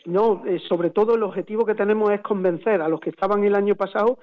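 A man's voice: high-pitched, fairly even intonation, fast. A man, a football coach speaking by phone, hesitant and repeating words. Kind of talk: phone interview. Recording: tinny phone line.

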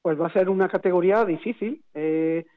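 A man's voice: high-pitched, a little fast. A man, a football coach speaking by phone, hesitant and repeating words. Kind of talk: phone interview. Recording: tinny phone line.

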